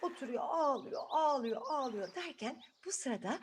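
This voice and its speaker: A woman hosting a children's story channel, telling a fairy tale in an expressive, chatty way and putting questions to her young listeners. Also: high voice, normal speed, a little hiss or hum.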